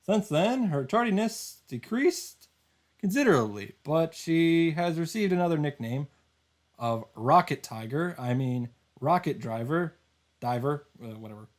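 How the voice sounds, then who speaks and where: A man's voice: a little high, expressive, measured pace. A man reading visual novel narration aloud as a streamer, with informal, casual reactions. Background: game music.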